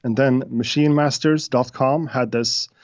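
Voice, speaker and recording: Brief: Swedish-accented man, non-native English speaker, halting guest talk, dull dry call audio. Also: mid-range voice, steady tone, a little mellow, dry recording. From Sweden. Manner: hesitant, many uhs and restarts. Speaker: guest beatmaker. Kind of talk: casual interview chat.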